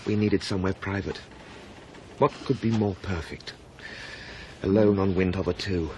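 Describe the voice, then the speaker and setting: An actor playing a well-spoken English husband in a radio drama, clipped and dry, possessive and quick to irritation. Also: male voice, deep voice, steady tone, noisy background, close to an everyday voice. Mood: teasing, tender.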